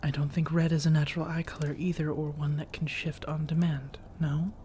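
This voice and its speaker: A woman playing a seductive, teasing vampire roommate in soft-spoken ASMR roleplay, coaxing and reassuring, often drifting into whispers. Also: deep voice, flat delivery, brisk, slight background noise, lightly acted. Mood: teasing, secretive.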